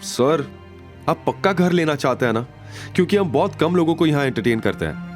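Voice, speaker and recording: A snobbish saleswoman played by a male storyteller in an audio drama. The pitch is pushed up, the politeness is formal and condescending, and faint music plays behind. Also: lively intonation, brisk, lightly acted. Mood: skeptical. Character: snobbish, dismissive saleswoman, falsely polite and impatient.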